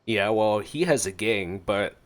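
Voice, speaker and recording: A man's voice: fairly low pitch, a little slow, slight background noise. A man streaming a game with casual, playful reaction commentary, talking back to the characters. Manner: playful, reads along and talks back to characters. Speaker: gaming streamer.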